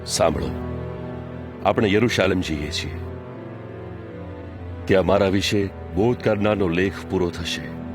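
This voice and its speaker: A solemn male prophet with a Kathiyawadi Gujarati accent. His voice sits fairly low and his delivery is measured and declamatory. Clear music plays behind this scripture drama. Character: Jesus, a solemn, authoritative male teacher and prophet.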